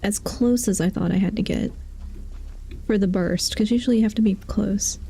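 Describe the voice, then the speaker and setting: A woman's voice: mid-range voice, moderate pace, creaky, dark tone, breathy edge. A woman livestreamer chatting casually while she plays a game, in a rambling, self-amused way. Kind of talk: casual chat while playing.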